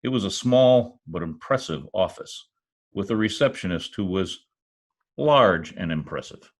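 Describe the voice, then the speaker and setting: A man playing a wisecracking private detective in an old-time radio play, with dry, deadpan quips. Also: sits low, measured pace, husky, full character voice. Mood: wry, narrating. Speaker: actor in an amateur radio-play reenactment. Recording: remote home recording over video call.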